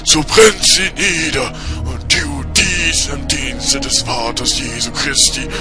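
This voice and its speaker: A solemn medieval man acted by a man in an amateur audio drama. He pronounces gravely and very slowly at a middle pitch, with music clearly behind. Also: lightly acted. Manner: slow, solemn.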